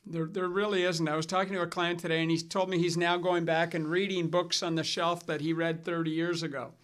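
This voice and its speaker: A man's voice: a little high, brisk. Middle-aged man hosting a finance chat. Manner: relaxed storytelling with fillers and restarts. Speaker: financial advisor and show host. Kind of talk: casual scripted chat.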